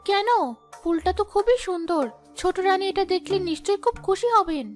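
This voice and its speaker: Young cartoon princess, played by a woman: very high voice, gentle, earnest, polite, grateful. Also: fairly quick, faint music behind. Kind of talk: animated fairy-tale dialogue.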